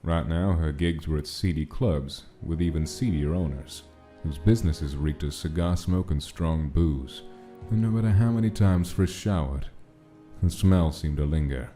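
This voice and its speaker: A man's voice: rumbling low, moderate pace, warm tone, soft background music. A man reading scripted narration of a gritty gangster-era story in a comic dub. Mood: brooding, measured.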